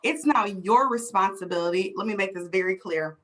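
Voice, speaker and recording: A woman's voice: mid-range voice, fairly quick. A woman hosting a conversation, explaining passionately and emphatically with everyday scenarios and rhetorical questions. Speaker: host, DEI consultant.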